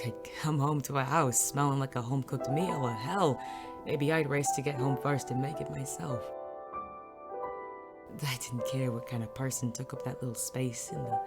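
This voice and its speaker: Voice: medium pitch, lightly acted. An actor playing a weary, cynical hard-boiled private detective in a noir audio drama, wry and sardonic. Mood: wistful. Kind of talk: 1940s noir audio drama acting and narration.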